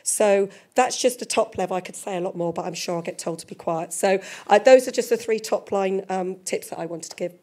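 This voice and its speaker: A middle-aged woman speaking as a panelist at a live seminar, a little croaky from losing her voice, talking into a handheld mic. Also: medium pitch, brisk, few pauses, a little mellow. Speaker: panelist, head of talent. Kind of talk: panel discussion remarks. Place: museum event space with a live audience. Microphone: handheld microphone.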